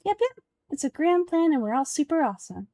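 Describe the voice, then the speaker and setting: Woman putting on a squeaky excitable little fairy companion. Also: a little fast, dry recording, studio quality. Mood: excited.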